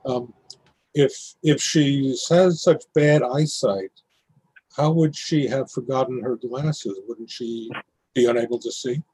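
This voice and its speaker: Mellow, husky man giving casual feedback. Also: medium pitch, steady tone, takes it slowly, rough recording. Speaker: play reading participant giving feedback. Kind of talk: casual critique.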